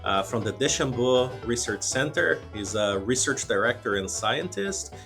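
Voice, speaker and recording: A man's voice: medium pitch, animated, normal speed, creaky, a bit bright, faint music behind. A man hosting a podcast, opening the episode and welcoming his guest in an upbeat, formal tone. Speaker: podcast host. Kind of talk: show introduction.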